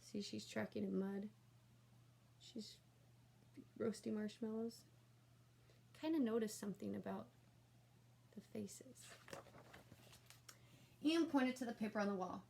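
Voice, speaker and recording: A woman narrating a children's storybook aloud in an animated, expressive and emphatic way. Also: medium pitch, a little slow, vocal fry, pauses often, a little hiss or hum.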